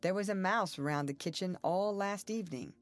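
A woman voicing a weary, taciturn young farmer who answers in short, flat phrases. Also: mid-range voice, normal speed, pitched above the performer's own voice, close to an everyday voice. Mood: terse. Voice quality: flat, terse, tired.

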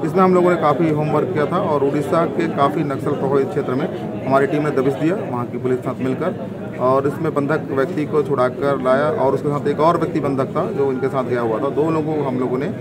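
A man in his forties, a police officer, giving a halting press statement outdoors into a bunch of news microphones, pausing often on fillers. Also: medium pitch, steady tone, poor audio. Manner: halting, many hesitation fillers. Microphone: bunched handheld news mics. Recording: loud crowd outdoors.

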